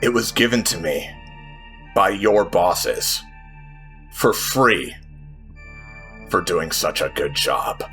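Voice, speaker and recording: A man's voice: sits low, expressive, slow pace, long pauses, bright, forceful tone, soft background music, exaggerated voice. A man voicing a cold, vengeful cyborg woman in a tabletop game, speaking with sardonic menace. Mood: cold sarcasm.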